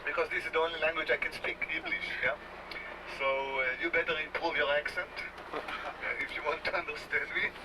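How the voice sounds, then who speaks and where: An Israeli-accented man greets a tour group in a joking, chatty way and explains things slowly. He is picked up from a distance on an old home video. Loud road noise runs behind him. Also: fairly high pitch, steady tone. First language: Hebrew. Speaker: tour guide. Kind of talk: tour bus welcome talk.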